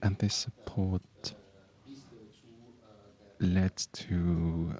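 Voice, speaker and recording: A male parliamentarian whose first language is Italian, answering on a panel and searching for words in a halting, apologetic way. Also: sits low, little pitch movement, mellow.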